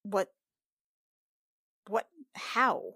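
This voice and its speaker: A woman's voice: medium pitch, drawn-out words, bright, forceful tone, husky, dry recording. Informal woman improvising, close dry sound. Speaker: tabletop game player. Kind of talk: casual in-character improvised dialogue.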